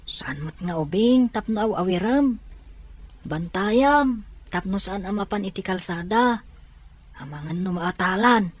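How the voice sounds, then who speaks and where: A woman's voice: mid-range voice, expressive, unhurried. A woman playing a protective, cautious young boy, an older brother in an audio drama. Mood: cautioning. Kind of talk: amateur audio drama acting.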